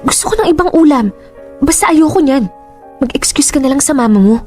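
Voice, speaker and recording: A woman's voice: high voice, animated, fairly quick, a little mellow, soft background music. An actress playing a fearful, weary young pregnant woman in a radio drama, with a Filipino accent. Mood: irritable.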